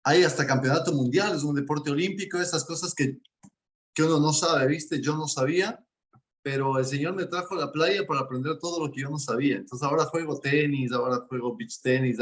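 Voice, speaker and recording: A man's voice: mid-range voice, a little fast. A man, a guest coach speaking in an earnest, enthusiastic way in a casual interview. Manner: warm and earnest, speaks with enthusiasm about faith.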